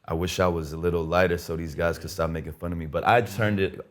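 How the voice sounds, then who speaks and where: A man's voice: low-pitched, fast. A man whose first language is Twi, a guest musician talking in a loose, rambling way full of fillers. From Ghana and United States. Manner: relaxed, rambling with many "like" fillers. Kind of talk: casual podcast conversation.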